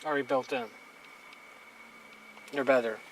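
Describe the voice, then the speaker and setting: A man's voice: medium pitch, fairly even intonation, measured pace. A man giving a casual hands-on gear demonstration in a blunt, plain-spoken, mildly crude manner. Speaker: hobbyist reviewer demonstrating radio gear. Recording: noisy amateur recording with clicks.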